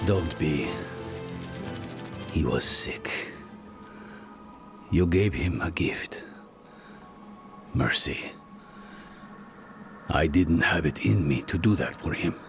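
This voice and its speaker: An actor playing a weary, grieving spirit-healer in a video game, gravelly and halting, with a solemn manner. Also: male voice, extremely low pitch, lively intonation, full character voice. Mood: weary, resolute. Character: grieving, weary male spirit-healer, guilt-ridden but resolute. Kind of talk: dramatic video game acting. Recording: music behind the voice.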